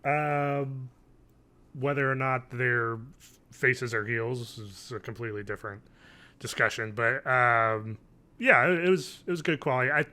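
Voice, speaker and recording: A man's voice: medium pitch, pushed and bright. A man in his thirties co-hosting a podcast from home, chatting casually in a dry, rambling way. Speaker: podcast co-host. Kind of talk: casual chat. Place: home living room, video call.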